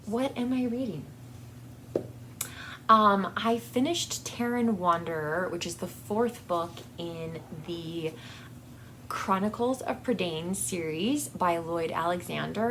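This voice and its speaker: A woman chatting casually as a book vlog host, in a halting, hesitant way that often trails off mid-thought. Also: on the low side, animated, a bit bright, a little hiss or hum, poor audio.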